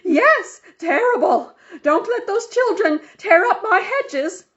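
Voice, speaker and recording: A woman voicing a bossy, injured church lady from a children's storybook, shouting imperious commands in pain. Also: extremely high pitch, animated, slow, voice pushed up, full character voice. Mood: pained, yelling. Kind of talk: children's storybook read-aloud. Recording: dull lo-fi home recording.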